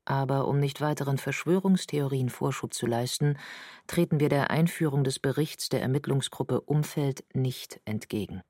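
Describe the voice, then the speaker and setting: A man's voice: mid-range voice, normal speed, a little mellow, dry recording, clean studio sound, natural voice. A man playing a formal, firm federal prosecutor addressing the court in a documentary radio drama.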